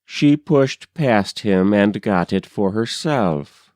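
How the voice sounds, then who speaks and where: A man narrates a poem at a very unhurried pace, phrasing it with care. His voice is smooth and clear at a medium pitch, recorded dry with no room sound. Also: animated, darkish tone, no room sound. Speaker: poetry reader. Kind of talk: measured literary poem narration.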